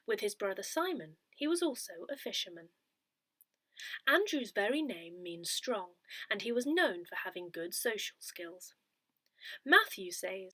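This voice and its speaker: Woman reading aloud with lively, swooping intonation. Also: medium pitch, no echo. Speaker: newsletter reader. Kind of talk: scripted narration, reading aloud.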